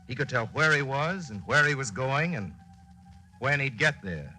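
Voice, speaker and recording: A theatrical narrator of a 1940s wartime radio drama. He is wry and folksy with a storyteller's dramatic flair, in a middle-range male voice. Music plays clearly behind him on an old radio recording. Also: mid-range voice, expressive, moderate pace, pushed and bright.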